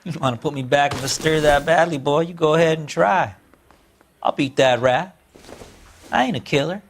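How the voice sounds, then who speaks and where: An actor playing a sly, unrepentant drifter and ex-convict in a video game, with a rural American accent and an evasive, folksy, drawling way of talking. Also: male voice, fairly high pitch, normal speed, a little hiss or hum, close to an everyday voice. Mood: defiant. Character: sly unrepentant small ex-convict drifter suspect, farm boy. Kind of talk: video game interrogation acting.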